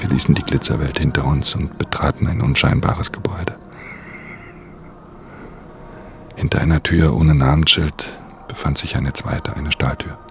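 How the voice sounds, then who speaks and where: Very deep, gravelly brooding thief. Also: male voice, fairly even intonation, brisk, hardly any pauses, slight background noise. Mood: dark narration. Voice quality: low, gravelly. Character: brooding, guarded male pickpocket telling his own story. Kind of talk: radio drama narration and dialogue.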